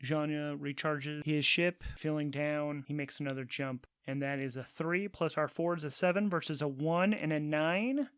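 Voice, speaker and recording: A man's voice: a little high, fairly even intonation, normal speed, few pauses, fairly bright tone, clean tone, no room sound, clean studio sound. A man narrating a solo tabletop game casually, thinking aloud as he switches between rules and story.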